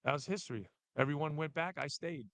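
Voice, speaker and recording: A man's voice: a little high, creaky, a bit bright, dry recording. Easygoing New man, dry sound. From the Bronx, New York. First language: Albanian. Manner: easygoing, joking, talks in quick fragments. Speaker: podcast guest. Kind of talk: casual chat.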